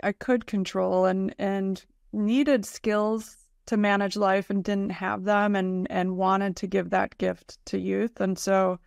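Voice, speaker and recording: Middle-aged woman podcast host, creaky clear voice. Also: mid-range voice, little pitch movement, slow pace, a little mellow, no echo, clean studio sound. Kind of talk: conversational interview. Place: indoor room, video call. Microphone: desk microphone, close.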